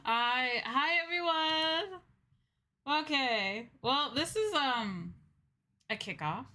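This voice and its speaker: A woman's voice: high-pitched, lively intonation, takes it slowly, bright, forceful tone. A woman hosting a casual livestream, chatting to viewers in a flustered, scattered, apologetic manner. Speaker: VTuber livestream host.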